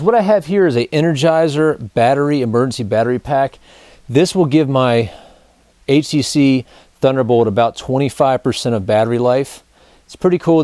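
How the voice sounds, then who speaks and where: A man in his thirties talks casually to the camera as he demonstrates gear. His voice sits at an average pitch and his phrasing is colloquial, like a host talking to regular viewers. The sound is dry, as in a small indoor studio. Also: warm tone, no echo. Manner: informal, colloquial, addressing viewers as guys. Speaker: host of a survival gear review show.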